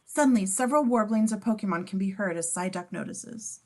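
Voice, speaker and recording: A woman reads stage directions as scripted narration. Her voice sits at a medium pitch. She describes the action between the actors' lines in a table read. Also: animated, a little fast, a little mellow. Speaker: scene description reader.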